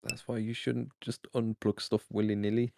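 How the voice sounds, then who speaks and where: A man's voice: mid-range voice, level tone, moderate pace, vocal fry, mellow, no echo, clean studio sound. A British man bantering casually with friends during a game stream, teasing and argumentative. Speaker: gamer friend on a voice call.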